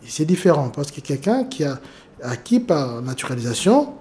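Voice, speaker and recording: A man, a guest lawyer from Congo, giving measured, step-by-step answers in an interview, with some restarts. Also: a little high, very animated, mellow. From Democratic Republic of the Congo.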